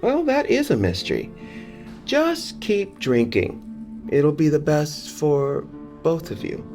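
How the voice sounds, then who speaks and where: Campy male bartender, audio drama; arch, sympathetic, lively delivery, light music underneath. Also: fairly high pitch, slow, heavily acted. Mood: sassy, soothing.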